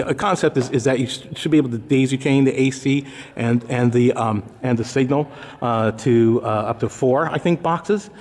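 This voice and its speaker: A man giving a casual, unscripted gear walkthrough in a halting, conversational way, often correcting himself. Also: medium pitch, darkish tone, in a large hall. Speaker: presenter demonstrating audio gear.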